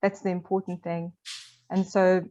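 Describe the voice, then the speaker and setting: A woman's voice: fairly low pitch, flat delivery, moderate pace, mellow. Woman guest, program coordinator, encouraging tone, muffled lo-fi sound. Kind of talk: interview answers. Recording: dull lo-fi audio.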